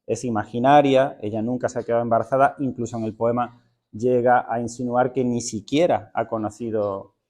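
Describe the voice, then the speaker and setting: A man introducing and reading a poem aloud at a literary event, speaking in a thoughtful, unhurried way with some hesitant fillers. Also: medium pitch, steady tone, darkish tone. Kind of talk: poetry reading with spoken introduction.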